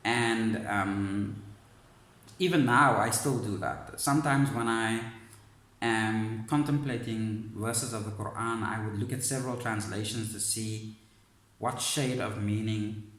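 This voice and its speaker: A man telling a personal story in a thoughtful, searching way, often pausing and restarting his sentences. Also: lowish voice, steady tone, fairly bright tone, reverberant. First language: not Arabic. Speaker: vlogger sharing personal story. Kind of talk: reflective personal monologue.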